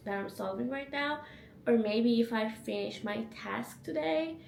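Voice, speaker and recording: A woman's voice: a little high, creaky, echoey room, a little hiss or hum. A young woman with English as a second language, vlogging casually about her day from her apartment. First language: not English. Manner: chatty, upbeat, self-reflective. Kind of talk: casual vlog narration. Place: home apartment.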